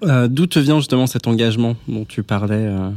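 A man's voice: medium pitch, fairly quick, a little mellow. A man around thirty hosting a podcast interview in a casual, conversational way. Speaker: podcast host.

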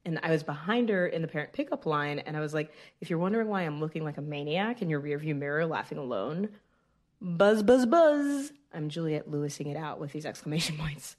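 Woman, low-pitched, for dramatic readings of gushing fan emails; theatrical delivery. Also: animated, a little fast, darkish tone. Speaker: guest reader of listener emails.

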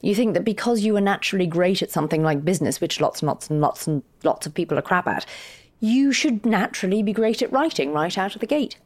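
An actress playing a frank, witty, encouraging partner of a writer, articulate and wry, in naturalistic audio fiction. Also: female voice, medium pitch, animated, fast talker, darkish tone, natural voice.

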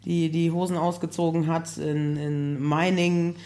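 A hoarse woman talking casually as a podcast guest, chatty and laughing often, with many fillers. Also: deep voice, flat delivery, unhurried, mellow. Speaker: guest, building project manager.

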